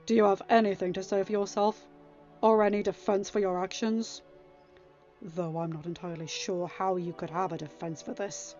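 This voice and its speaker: A woman's voice: mid-range voice, steady tone, normal speed, a little mellow, highs cut off, lightly acted. A woman voicing a firm, formal young king presiding over a council in a story reading. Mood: stern. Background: music.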